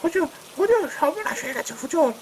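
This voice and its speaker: A man's voice: very high-pitched, animated, fast talker, slight background noise. An actor playing a panicky male servant in a fairy-tale cartoon, speaking in a breathless, urgent way. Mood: panicked.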